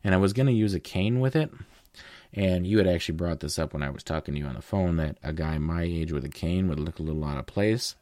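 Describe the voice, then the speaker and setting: A young man with a deep voice, casually telling a long story as a guest in a conversation, with fillers and restarts. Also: fast talker, dark tone, dry recording, clean studio sound. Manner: rambling, with fillers.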